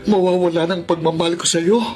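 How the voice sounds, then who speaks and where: An actor playing a proud, lovestruck politician with a Filipino accent in a radio drama, impassioned and melodramatic. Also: male voice, high-pitched, steady tone, fairly quick, heavy background noise, natural voice. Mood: furious, pleading. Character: wounded, lovestruck male politician, passionate and proud. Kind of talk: Tagalog radio drama acting.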